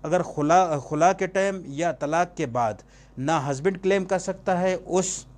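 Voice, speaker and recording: A man with a Pakistani accent and a slightly high-pitched voice teaches in an informal lecture style. He keeps putting questions to the listener and answering them himself, slipping English terms into his explanations. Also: measured pace, darkish tone. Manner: switches between Urdu and English terms. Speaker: law teacher. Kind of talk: informal exam-prep lecture with rhetorical questions.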